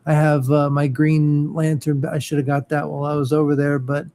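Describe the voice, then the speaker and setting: A man co-hosting a casual chat about comics, talking haltingly with many fillers and restarts. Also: on the high side, flat delivery, warm tone, slight background noise.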